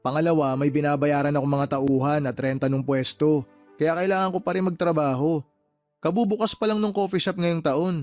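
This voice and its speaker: A man's voice: on the high side, a little fast, a little mellow, soft background music, natural voice. A Filipino man playing a weary, exasperated younger brother who defends himself in a radio drama. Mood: defensive. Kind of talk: Tagalog radio-drama phone dialogue.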